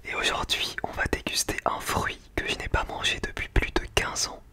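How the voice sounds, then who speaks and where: Man whispering casual ASMR. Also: moderate pace. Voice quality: breathy unvoiced whisper. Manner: casual, slangy, chatty. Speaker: ASMR food-tasting video creator.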